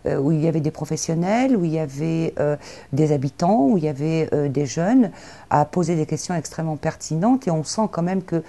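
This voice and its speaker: A woman's voice: fairly low pitch, dark tone. A woman giving an articulate, explanatory spoken recap in an interview, as a representative of a youth employment agency.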